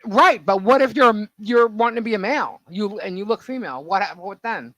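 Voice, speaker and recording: A woman with a deep voice co-hosting a casual podcast, talking emphatically and at length. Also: lively intonation, brisk, no room sound. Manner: emphatic, talks at length, repeats herself. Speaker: podcast co-host. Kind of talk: casual opinionated chat.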